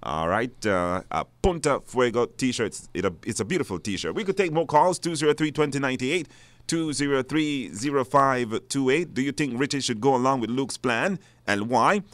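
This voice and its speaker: A man's voice: mid-range voice, lively intonation, moderate pace, fairly bright tone, no echo. A man with a Belizean accent hosting a live radio call-in show, putting questions to callers. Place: radio studio.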